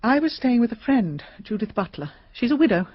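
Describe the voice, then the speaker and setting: An actress playing a shaken middle-aged British mystery novelist in a radio drama, talkative, hesitant and confiding. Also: female voice, fairly high pitch, sing-song, a little fast, breathy edge, no echo. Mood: earnest, confiding. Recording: slightly dull, dry radio recording.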